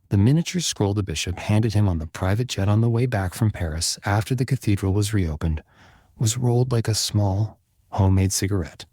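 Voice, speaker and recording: A man reads serialized fiction in a low, husky voice. His delivery is measured and literary, like an audiobook, and the sound is close and dry. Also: a little mellow, dry recording. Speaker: author narrating own serialized fiction podcast. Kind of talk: scripted audiobook narration.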